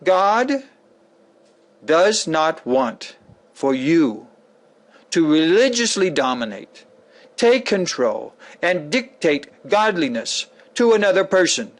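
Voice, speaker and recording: A man with a high-pitched voice reads a scripted lecture like a sermon. He phrases things deliberately and emphatically, with a theatrical touch. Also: big pitch swings. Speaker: author reading a sample of an e-book lecture.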